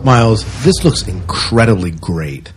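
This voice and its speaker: A man's voice: on the low side, sing-song, unhurried, background noise. A man co-hosting a podcast, giving reviews in a casual, reflective, conversational way. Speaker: podcast co-host. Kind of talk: casual sci-fi news and review chat.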